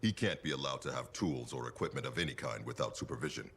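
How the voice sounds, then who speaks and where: A gravelly, very deep stern commander. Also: male voice, fast talker, fairly bright tone, a little hiss or hum, full character voice. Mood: disapproving. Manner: formal, commanding, disapproving. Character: stern, authoritarian male fort commander. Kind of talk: video game cutscene acting.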